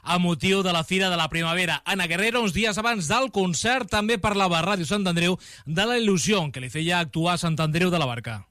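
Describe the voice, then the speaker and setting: A man's voice: high-pitched, a little fast, sharp tone, dry recording. A man with a Catalan accent reading a scripted local radio news report as its reporter.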